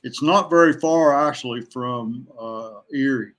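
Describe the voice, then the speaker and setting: A man talking casually as a podcast guest, a veteran angler who haltingly thinks aloud as he goes. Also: mid-range voice, fairly bright tone.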